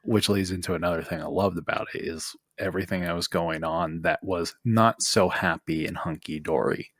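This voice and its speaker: A man's voice: sits low, steady tone, moderate pace, fairly bright tone, no room sound, clean studio sound. A man co-hosting a podcast in casual, conversational talk. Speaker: podcast co-host. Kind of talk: casual chat.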